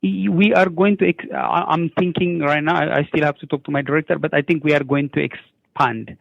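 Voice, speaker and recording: A middle-aged man with an Ethiopian accent answers interview questions haltingly, repeating words and stammering on word starts, on a narrow lo-fi recording. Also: a little high, fairly even intonation, creaky, dry recording. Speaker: newcomer program coordinator, interview guest.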